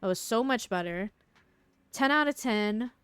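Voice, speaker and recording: A woman's voice: on the high side, animated, unhurried, smooth, dry recording. Casual woman game streamer, dry close sound. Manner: slangy, reacts aloud to the game. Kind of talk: casual live gameplay commentary.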